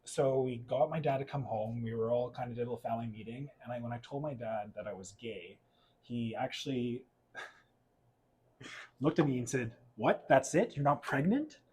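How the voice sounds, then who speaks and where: A man's voice: mid-range voice, normal speed, darkish tone, slightly rough, low-quality recording. A young adult man from Portugal, raised in western Canada, candidly telling his life story as a guest in a casual chat and laughing often. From Portugal, raised in Alberta, Canada.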